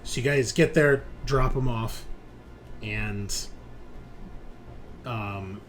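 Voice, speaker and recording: A man acts as game master for a tabletop roleplaying game. His voice is middling in pitch, and he narrates in an improvised, halting way with pauses. Faint music plays behind him. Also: poor audio. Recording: music playing under the voice.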